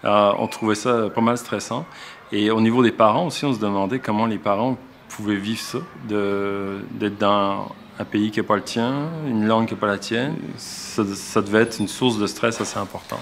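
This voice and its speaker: Low-voiced Québécois male teacher. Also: steady tone, moderate pace, vocal fry, a little hiss or hum. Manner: reflective, explains with frequent restarts. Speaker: elementary school teacher. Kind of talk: documentary interview.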